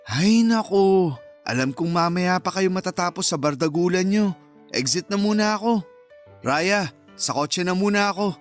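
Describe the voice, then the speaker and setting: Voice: mid-range voice, lively intonation, moderate pace, pauses often, faint music behind, lightly acted. An actor playing a breezy, sassy young friend who mocks playfully in a Filipino radio romance drama. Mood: amused, breezy. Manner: mocking, playful banter.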